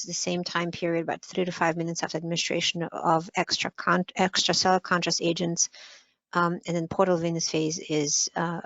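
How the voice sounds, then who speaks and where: A woman's voice: lowish voice, a bit bright, dry recording. A woman lecturer answering a question with careful, precise explanation and many fillers. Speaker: guest lecturer. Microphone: video call. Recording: dull muffled web call audio.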